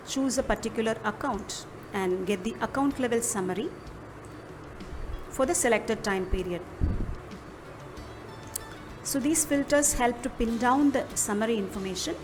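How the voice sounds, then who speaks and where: A woman with an Indian accent narrating an instructional software demo, explaining step by step in a measured manner. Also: a little high. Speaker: product demo presenter. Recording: music behind the voice. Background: background music.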